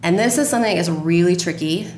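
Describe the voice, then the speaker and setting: A woman's voice: fairly low pitch, quick pace, a little mellow. A woman in her thirties explaining her production work as a guest in a podcast conversation. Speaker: radio drama producer, interview guest. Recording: roomy, echoey, faint background noise.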